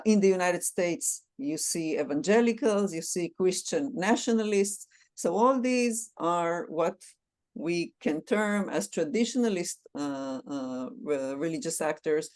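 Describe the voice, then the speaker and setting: A woman author discussing her book in an academic interview, speaking with many hesitations and filled pauses. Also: on the low side, darkish tone. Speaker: author of a book on religion and liberalism.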